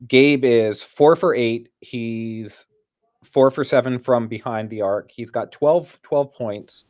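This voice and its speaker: A man's voice: mid-range voice, slow pace, a little mellow. A man co-hosting casual sports commentary, analysing the game in a conversational, explanatory way.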